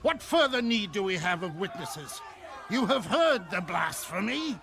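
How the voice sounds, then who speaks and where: An actor playing an indignant, accusing high priest in a dramatized audio Bible, declaiming. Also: male voice, big pitch swings, measured pace, exaggerated voice. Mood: outraged. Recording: dramatic music bed behind the voice.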